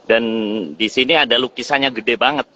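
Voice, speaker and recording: A man joining a casual video call as a guest, telling an anecdote in a relaxed, easygoing way. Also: mid-range voice, brisk. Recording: dull lo-fi call audio.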